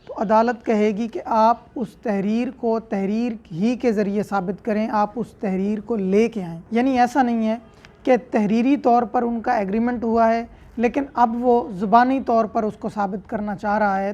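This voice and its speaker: Higher-pitched Pakistani woman lecturing, muffled audio. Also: dark tone. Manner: didactic, repeats and rephrases each point. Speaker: law lecturer. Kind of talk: explanatory lecture, reading statute and explaining it.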